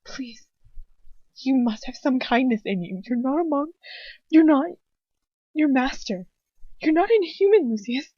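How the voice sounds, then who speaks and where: A woman acts out a young captive girl from a storybook, desperate yet defiant. Her pleading is tremulous and raised in pitch, on a dull lo-fi recording. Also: lively intonation, measured pace, pauses often, husky, no room sound, full character voice. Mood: desperate appeal.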